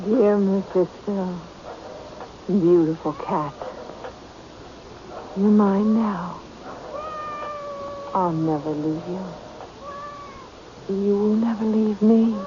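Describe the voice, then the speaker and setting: An actress playing a distraught, possessive woman obsessed with her cat in a radio drama. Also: on the low side. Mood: tender, possessive. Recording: dull, hissy old radio recording.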